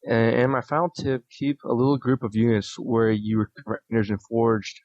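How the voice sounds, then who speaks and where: A man hosting a podcast, reading listener submissions aloud in a steady, plain way with small restarts. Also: medium pitch, fairly quick, no echo. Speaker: podcast host. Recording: dull lo-fi audio.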